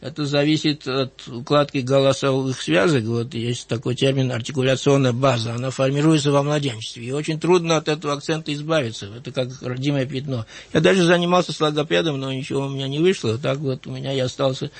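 A man in his sixties from Georgia with a light Georgian accent, a writer recalling his life in an interview, measured and thoughtful with frequent hesitant fillers. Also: mid-range voice, steady tone, dry recording. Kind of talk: reflective interview recollection.

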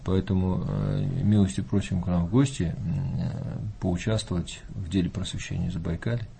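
A male Orthodox priest speaking in an unhurried, folksy way, with many fillers and hesitations. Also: deep voice, fairly even intonation, vocal fry, warm tone, slight background noise. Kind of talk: calm talk show conversation.

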